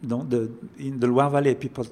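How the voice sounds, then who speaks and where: A man's voice: mid-range voice, little pitch movement, brisk, a little mellow. An older man with a French accent, a chef answering interview questions, often repeating words as he searches for phrasing. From France. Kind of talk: interview conversation.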